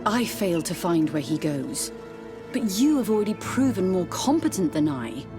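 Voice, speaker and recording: An actress playing a devout, righteous nun in a video game, speaking formally and earnestly. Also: female voice, lowish voice, sing-song, moderate pace, music in the background. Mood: righteous alarm. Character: devout, righteous religious sister hunting heretics.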